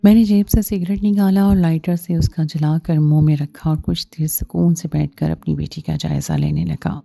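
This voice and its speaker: A woman's voice: low voice, animated, moderate pace, creaky, warm tone, no room sound. A woman reading a scripted first-person story as its narrator, with music behind her.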